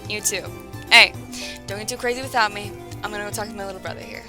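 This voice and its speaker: An actress playing a loud, brash woman sergeant who teases her friend. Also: mid-range voice, fairly even intonation, fast talker, music in the background, close to an everyday voice. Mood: teasing. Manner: brash, teasing.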